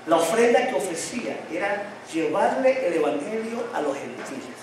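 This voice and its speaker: A male pastor preaching with a fairly high voice, drawing answers from his congregation with repeated questions. A slight tongue-tie tangles his words at times. He is heard from some distance in a very echoey church hall. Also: very animated, normal speed, background noise. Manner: call-and-response questions to the congregation. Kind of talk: sermon.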